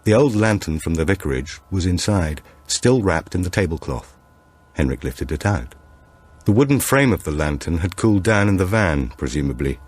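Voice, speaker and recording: A male audiobook narrator with a notably deep voice. He reads scripted suspense fiction as a storyteller. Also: sing-song, normal speed, husky.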